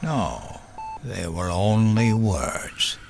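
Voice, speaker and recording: A man playing a gentle, dying old grandfather in an audio drama, halting and tender, with a creaky voice. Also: lowish voice, very animated, faint music behind, full character voice. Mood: rueful.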